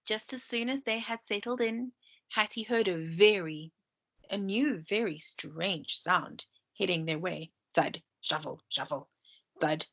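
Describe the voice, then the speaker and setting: A woman's voice: medium pitch, measured pace, fairly bright tone, a little hiss or hum. Woman reading storytime, dull lo-fi sound. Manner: chatty, explains pictures to listeners. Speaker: primary school teacher. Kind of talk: read-aloud story time with asides to children. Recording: dull lo-fi screen-share recording.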